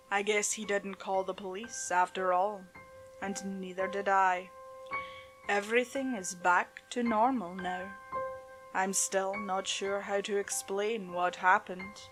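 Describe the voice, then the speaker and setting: A woman storyteller reading a horror tale in a dramatic, suspenseful, deliberate way, with music behind her. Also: mid-range voice, rough recording. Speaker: storyteller, channel host. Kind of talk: dramatic scripted horror narration.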